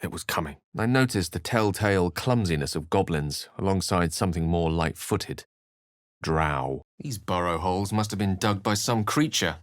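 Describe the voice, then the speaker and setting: An actor playing an eloquent, theatrical and wry human wizard in a fantasy video game, with articulate, literary phrasing. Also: male voice, deep voice, lively intonation, normal speed, no echo, studio quality. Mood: solemn.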